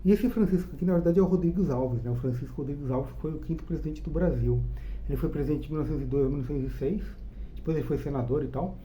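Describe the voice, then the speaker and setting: A man's voice: a little high, rapid-fire, dull audio, a little hiss or hum. A Brazilian man with a Brazilian Portuguese accent hosting his own channel, giving a chatty, enthusiastic review of a comic book and checking in with the listener with frequent tag questions. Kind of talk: casual spoken review.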